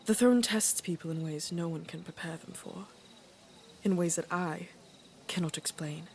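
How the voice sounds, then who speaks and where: A woman playing an aging, regal queen and mother in a fantasy audio drama, speaking in a measured, deliberate and wry way. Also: lowish voice, slight background noise, natural voice. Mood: grave, worried. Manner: measured, deliberate, dryly teasing.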